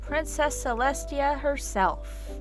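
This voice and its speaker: A woman's voice: sits high, slow pace, music in the background. A woman reading scripted story narration as the narrator of a dramatic tale.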